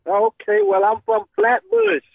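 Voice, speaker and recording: A man raised in Miami, a film writer and producer calling in as a guest, talking casually in a halting way, repeating words and chuckling. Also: very high-pitched, very animated, creaky, crowd noise. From born in Brooklyn, raised in Miami. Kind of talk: casual radio phone interview. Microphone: phone. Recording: tinny phone line, dry.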